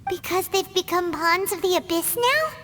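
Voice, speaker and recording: A woman voicing a tiny, curious, excitable fairy girl with a squeaky childlike voice for a video game cutscene. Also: normal speed, slight background noise. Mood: startled. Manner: exclamatory, talks of self in third person. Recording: loud music behind, long reverb.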